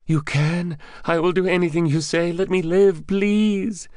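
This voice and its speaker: A man's voice: a little high, lively intonation, a little slow, no echo, clean studio sound, voice pushed up. A man voicing a frightened, boastful captive who works as a drug gang's escort in an audiobook, with a pleading, babbling way of talking. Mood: terrified pleading. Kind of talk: audiobook character dialogue.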